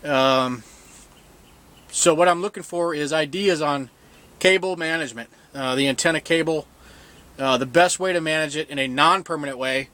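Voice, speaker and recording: Casual man with a slightly higher-pitched voice. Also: normal speed, bright, forceful tone. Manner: informal, self-deprecating, mild swearing. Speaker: video host, DIY boat owner. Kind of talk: casual, unscripted show-and-tell vlog.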